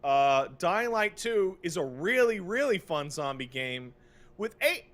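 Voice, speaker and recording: A man's voice: high voice, very animated, a little slow, a bit bright. A man co-hosting a podcast in a casual, opinionated rant, exasperated and emphatic, repeating phrases for effect and swearing freely. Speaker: podcast co-host.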